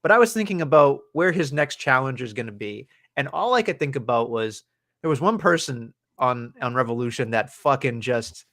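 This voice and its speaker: A man co-hosts a loose, casual podcast chat with a steady, mid-pitched voice. He rambles, swears constantly and laughs through his own tangents. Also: normal speed. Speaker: podcast co-host.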